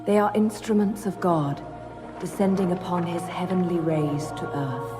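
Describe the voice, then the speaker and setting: A woman playing a calm, devout, reverent woman in a video game, speaking in a solemn, measured way. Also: fairly low pitch, natural voice. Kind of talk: video game cutscene acting. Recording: echoey, music behind.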